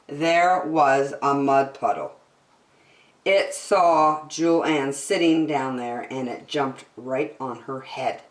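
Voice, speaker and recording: Slow, very animated woman reading aloud. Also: on the low side, recorded from a distance. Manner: expressive, with deliberate emphasis. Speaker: children's story-time reader. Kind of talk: animated picture-book read-aloud. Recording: echoey, roomy sound.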